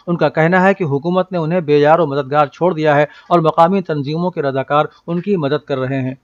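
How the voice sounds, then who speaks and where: A man reading a scripted news bulletin in a formal, measured manner. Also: a little high, no echo, muffled recording.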